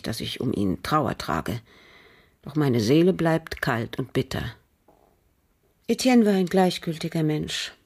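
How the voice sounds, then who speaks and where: An actress playing a bitter, cold aristocratic French widow in a radio crime drama, speaking formally and guardedly with a husky, breathy voice. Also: female voice, deep voice, darkish tone, heavily acted. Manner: measured, guarded, formal, with pauses. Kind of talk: German radio drama acting.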